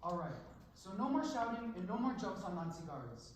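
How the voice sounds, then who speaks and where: A man's voice: high voice, very animated, moderate pace. Guy playing hopeful firm dad in a stage drama, far from mic, super echoey. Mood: firm, reassuring. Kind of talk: stage play acting. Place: stage in a large hall. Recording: echoey hall from far off, faint noise.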